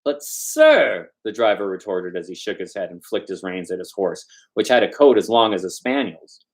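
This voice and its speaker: A man's voice: low voice, fairly quick. A man reading a story aloud informally as host, stumbling over words now and then and slipping in loose asides.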